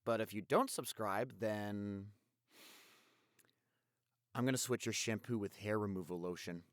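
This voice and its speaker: A man's voice: lowish voice, a little slow, no echo, clean studio sound. A man hosting his channel, delivering a jokey, scripted plug to listeners. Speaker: channel host.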